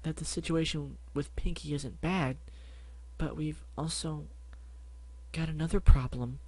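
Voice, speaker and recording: A man voicing a timid, shy yellow pony mare who speaks softly and hesitantly. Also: low voice, fairly even intonation, slightly rough, pitched above the performer's own voice, exaggerated voice. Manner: soft, hesitant, halting.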